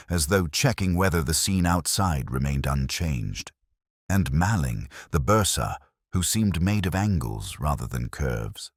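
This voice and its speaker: A man performs a thoughtful, literate detective narrating an audio drama in an exceptionally deep voice. The delivery is reflective and formal, yet moves expressively in pitch. The sound is dry, with no room around it. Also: dry recording. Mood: wry, watchful. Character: brooding, literate male police inspector recounting a case.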